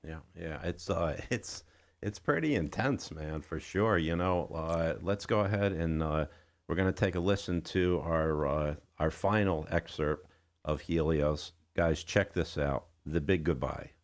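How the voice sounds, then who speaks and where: A man hosting a casual radio interview with halting phrasing. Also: sits low.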